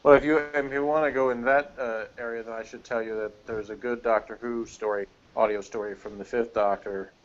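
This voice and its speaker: A man chatting casually as a guest reviewer, rambling and hesitant, picking through his thoughts in a measured way. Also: medium pitch, steady tone. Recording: muffled lo-fi call audio.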